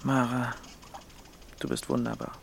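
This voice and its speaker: Weary, gravelly male poet. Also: medium pitch, flat delivery, a little slow, a little hiss or hum. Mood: weary, wry. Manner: resigned, dry, hesitant. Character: burnt-out, melancholy male Berlin poet, weary and wry. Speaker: actor in a radio drama. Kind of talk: naturalistic radio drama dialogue and diary narration.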